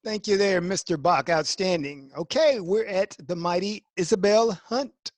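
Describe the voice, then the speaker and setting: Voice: mid-range voice, unhurried, dry recording. An upbeat moderator calling on panelists in an online forum. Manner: upbeat, repeats praise. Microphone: video call.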